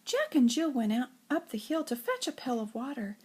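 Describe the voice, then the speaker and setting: An older woman with a breathy, husky voice reads nursery rhymes like a grandmother, in an expressive singsong storytelling way. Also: high voice, moderate pace, background noise. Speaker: grandmother reading to children.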